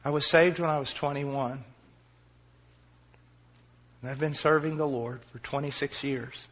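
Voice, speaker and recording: Man, 40s, preacher, husky, impassioned sermon, quiet to shouting, self-deprecating humor, dull lo-fi sound. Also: mid-range voice, steady tone, unhurried. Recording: dull lo-fi recording.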